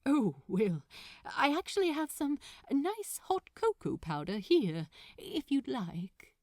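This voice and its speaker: A gentle, bookish man from a romantic story, acted out by a woman. He is polite and easily flustered, speaking in breathy, hesitant phrases that swing up and down in pitch. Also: very animated, slow, roomy sound, full character voice. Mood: puzzled, hesitant.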